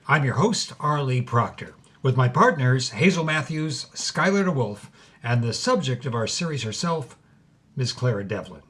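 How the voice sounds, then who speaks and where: An actor playing the host of a dramatic documentary series, delivering a scripted, grand introduction. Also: male voice, mid-range voice, animated, moderate pace, fairly bright tone, slight background noise, close to an everyday voice. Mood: grand, announcing.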